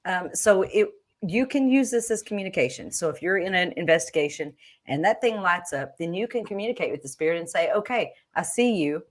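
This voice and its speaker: Animated, low-pitched woman. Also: fairly quick. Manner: emphatic, folksy. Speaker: co-host of a paranormal show. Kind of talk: casual, instructional chat.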